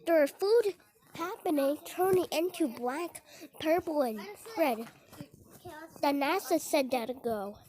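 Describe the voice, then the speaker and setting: A young-sounding amateur creator reads a mock emergency alert announcement, stumbling and garbling words in a halting way, on a homemade recording. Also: high voice, animated, a little mellow. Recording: noisy homemade recording with clicks.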